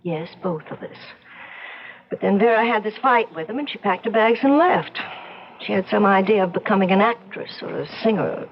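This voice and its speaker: A woman's voice: mid-range voice, a little fast, lo-fi sound, a little hiss or hum, natural voice. An actress in an old-time radio drama playing an anxious, insistent young lady, earnest and well-spoken, worried for her sister. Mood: grieving, insistent. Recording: band-limited radio drama with music.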